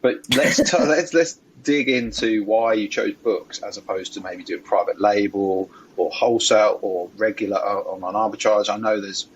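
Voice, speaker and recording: A man's voice: fairly low pitch, moderate pace, few pauses, a little hiss or hum, poor audio. A man with a British accent hosting a podcast in a casual, conversational interview style. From United Kingdom. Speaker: podcast host.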